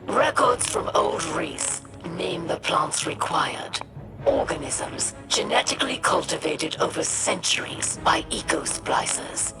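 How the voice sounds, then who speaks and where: A woman's voice: low-pitched, full character voice. A woman playing an imperious female alien warlord in a video game, speaking in a haughty, biting, sarcastic manner. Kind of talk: video game cutscene acting. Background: cinematic music.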